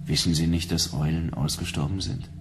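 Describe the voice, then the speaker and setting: A tired bounty hunter with a low voice, curt and matter-of-fact. He plays it as radio drama, with music underneath. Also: fairly even intonation, brisk. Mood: weary, resigned. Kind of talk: German radio drama acting.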